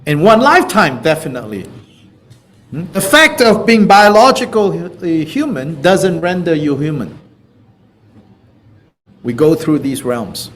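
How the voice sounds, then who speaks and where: A man with a Malaysian accent teaches in a playful, conversational way. His voice sits fairly high and moves in lively swings, and he takes his time. He sounds like an informal teacher talking with a class. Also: measured pace, long pauses. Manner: playful, chuckling, conversational, with repeated checks like "you know". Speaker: Buddhist teacher. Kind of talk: informal spoken teaching with questions from students.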